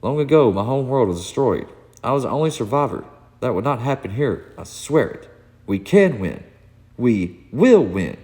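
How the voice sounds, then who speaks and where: A man's voice: mid-range voice, big pitch swings, normal speed, dark tone, voice pushed up. Guy doing a earnest superhero speech, impassioned and resolute, comic book style, long hall reverb. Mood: solemn, resolute. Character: earnest male superhero giving rousing, solemn speech.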